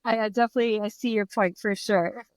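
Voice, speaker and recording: A woman in her thirties talking as an interview guest, upbeat and excited. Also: mid-range voice, fast talker, dry recording. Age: 30s. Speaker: guest peer mentor. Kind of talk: casual interview chat.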